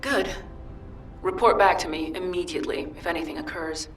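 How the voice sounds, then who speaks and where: Low-pitched, resolute female commander played by a woman. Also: moderate pace, far from the mic, over music, lightly acted. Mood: brisk, resolute. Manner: clipped, businesslike, authoritative. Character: driven, guarded female cyborg commander, brisk and resolute. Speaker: military commander character in a video game. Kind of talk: scripted game dialogue.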